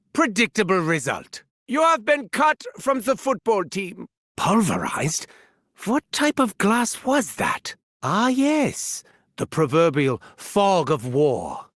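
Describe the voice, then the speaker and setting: Crazy german evil doctor for a game, pompous, sharp edgy voice, pitch jumps all over. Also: male voice, no echo, clean studio sound, heavily acted. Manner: pompous, theatrical, gleefully sinister. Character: arrogant, unhinged male German mad scientist doctor. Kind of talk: video game character voice lines.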